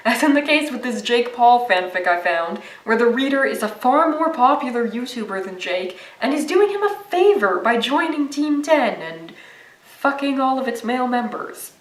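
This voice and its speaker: A woman's voice: fairly high pitch, a bit bright, reverberant, far from the mic, rough recording. A young woman narrating a video essay in a casual, sarcastic and profane way.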